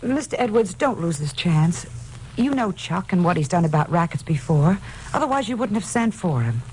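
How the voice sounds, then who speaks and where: A woman's voice: lowish voice, sing-song, moderate pace, a little mellow. An actress playing an earnest, insistent woman who persuades and reassures in a vintage radio detective drama. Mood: persuasive. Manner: urgent, pleading, determined. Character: earnest, insistent female helper, promising and persuasive. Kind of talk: 1940s-50s radio detective drama acting. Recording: noisy vintage radio recording.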